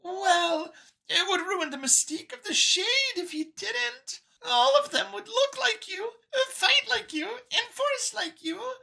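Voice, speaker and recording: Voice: very high voice, expressive, takes it slowly, gravelly, pushed and bright, pitched above the performer's own voice, full character voice, poor audio. A narrator voicing a small female alien mouse scientist in an audiobook, chirpy, slightly hesitant and chatty as she explains. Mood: explaining, upbeat.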